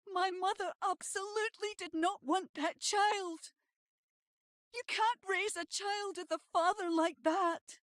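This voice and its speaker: Female narrator with an extremely high voice, dry studio-like sound, scripted storytelling read. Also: steady tone, slow pace, a bit bright, no room sound.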